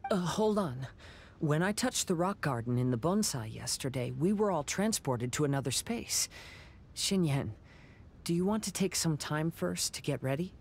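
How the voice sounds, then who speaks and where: A man playing a calm, gentle, reflective young wanderer with a measured, soft-spoken manner in a video game. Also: fairly high pitch, creaky, breathy edge, heavily acted. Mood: attentive.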